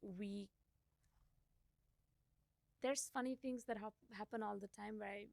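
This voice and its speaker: A young woman from India whose first language is Gujarati, talking reflectively as a podcast guest and thinking aloud with soft laughs. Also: mid-range voice, fairly even intonation, a little slow, vocal fry, no echo. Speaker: podcast guest, company CEO. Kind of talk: casual interview conversation.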